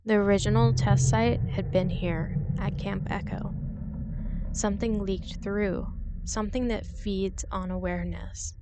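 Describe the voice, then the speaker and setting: A woman's voice: mid-range voice, steady tone, unhurried. A woman narrates a scripted horror tale in a mid-range voice. Heavy background noise sits behind her throughout the recording.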